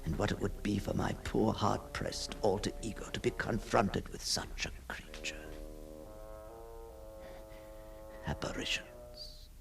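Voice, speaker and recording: A man's voice: lowish voice, moderate pace, gravelly, whispered, music in the background. An actor playing an obsessed, brooding man who stalks apparitions, telling his ghost story in a theatrical, intense monologue. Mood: secretive, tense. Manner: theatrical, hushed passages swelling into fervent declamation. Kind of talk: dramatic first-person ghost story monologue.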